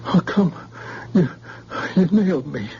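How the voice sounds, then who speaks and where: Rattled, halting crook. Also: male voice, high-pitched, expressive, creaky, full character voice. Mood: rattled, baffled. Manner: stammering, halting. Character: cornered, slow-witted tough criminal, rattled and baffled. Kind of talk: radio drama acting. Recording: muffled old radio recording, faint noise.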